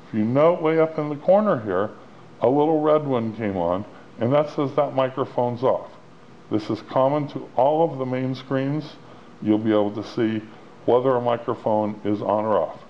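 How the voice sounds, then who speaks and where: A man's voice: medium pitch, moderate pace. A man giving a plain-spoken, step-by-step instructional walkthrough of a product as its demonstrator. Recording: dull lo-fi sound with clear background noise.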